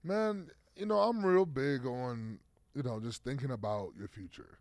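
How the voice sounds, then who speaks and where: A man's voice: medium pitch, measured pace, no room sound, studio quality. A man in his thirties, a football player guest, talking casually in an interview with lots of fillers and restarts. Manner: rambling, many fillers and restarts.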